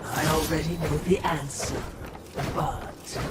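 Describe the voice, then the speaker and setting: A woman's voice: low-pitched, takes it slowly, heavy background noise. An actress playing a sly spectral female watcher in a video game, speaking in a wry, mocking way. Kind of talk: video game cutscene acting. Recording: loud game ambience behind voice.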